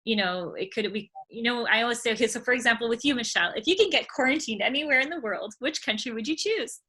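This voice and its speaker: A woman's voice: fairly high pitch, fast, bright, forceful tone, slightly rough. A woman hosting a casual interview over a video call, enthusiastic and rambling. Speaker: travel vlogger host.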